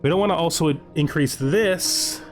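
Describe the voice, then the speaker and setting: A man's voice: fairly high pitch, normal speed. Man thinking aloud over game music. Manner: thinking aloud, deliberating choices. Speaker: video game streamer. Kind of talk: casual gameplay commentary, reading game text aloud.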